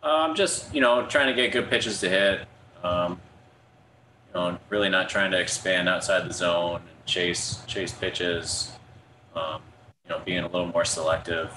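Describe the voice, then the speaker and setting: A young man, a ballplayer, answering reporters at a press conference in a soft-spoken way full of ums and you-knows. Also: deep voice, fairly even intonation, measured pace. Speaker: baseball player. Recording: echoey room with background noise.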